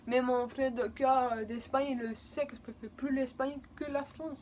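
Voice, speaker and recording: A woman's voice: high-pitched, steady tone, moderate pace, darkish tone, slight background noise. A woman in her twenties from Spain, speaking French with a Spanish accent, a native Spanish speaker telling a rambling personal story in a vlog. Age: 20s. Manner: rambling, repetitive, emotional. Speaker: vlogger.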